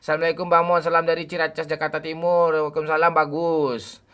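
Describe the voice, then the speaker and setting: A man's voice: a little high, flat delivery, fast talker, fairly bright tone. A man in his forties on a game livestream, greeting viewers by name and joking with the chat. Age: 40s. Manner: joking, teasing banter with chat. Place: home room at night.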